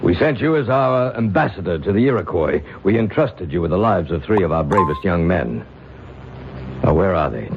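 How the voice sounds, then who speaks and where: A low, stern council chief. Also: male voice, expressive, vocal fry. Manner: formal, measured, authoritative. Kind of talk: 1970s radio drama acting. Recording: noisy, dull old radio broadcast recording.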